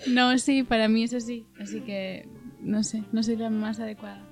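A woman's voice: a little high, steady tone, normal speed, creaky. Woman guest, frank and giggly, light music bed. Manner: laughs easily, candid. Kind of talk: casual chat.

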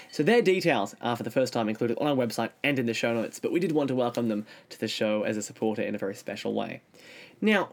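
Man hosting a podcast. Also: medium pitch. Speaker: podcast host. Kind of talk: podcast announcements, lightly scripted.

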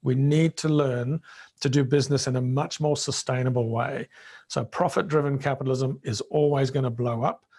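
A man in middle age, raised in Zambia with Bemba as his first language, answers an interviewer at length. His voice sits in an ordinary middle pitch, and he talks emphatically, often doubling words as he restarts a thought. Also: a little mellow, breathy edge. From Zambia and Rhodesia. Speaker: interview guest, businessman.

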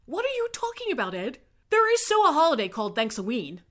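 A woman playing an excitable, incredulous little kid in a comic radio play. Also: sits high, quick pace, full character voice.

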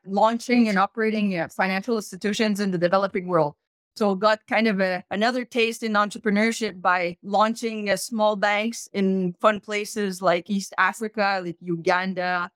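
A woman in her thirties from Quebec, a guest recounting her business journey in lively conversation. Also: dry recording, studio quality. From Canada (Québec). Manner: animated, rises in pitch when emphasizing. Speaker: guest, search fund entrepreneur. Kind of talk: conversational interview.

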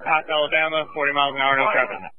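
A man's voice: fairly high pitch, fast talker, dry recording. A man working as a police officer, radioing terse, clipped pursuit updates over a two-way radio. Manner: clipped, procedural phrasing. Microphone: police radio handset. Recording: tinny, noisy police radio transmission.

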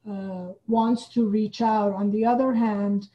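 A woman's voice: medium pitch, fairly even intonation, slow, dull audio. A woman from Iran speaking as an interview guest in a thoughtful, conversational way. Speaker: guest, playwright and theater artistic director.

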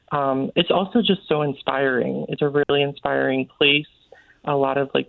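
A man with a creaky, fry-edged voice is a guest on a casual interview. He is effusive and earnest, heard over a narrow, tinny phone line with no room sound. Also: medium pitch, no echo. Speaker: interview guest, author and playwright. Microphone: phone call.

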